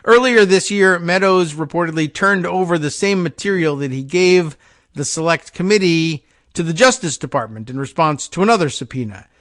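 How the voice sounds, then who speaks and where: A man with a slightly high, sounding voice reads news copy and keeps stopping to add wry, offhand remarks. The sound is close and dry, with no room around it. He moves between a reading tone and loose, musing commentary. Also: fairly bright tone, dry recording. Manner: sardonic asides between read passages. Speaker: political podcast host.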